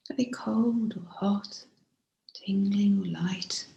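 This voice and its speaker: A woman guiding a body scan meditation as a teacher, speaking gently and softly, unhurried, with frequent pauses and breathy phrase endings. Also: medium pitch, lo-fi sound. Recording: roomy, echoing room sound.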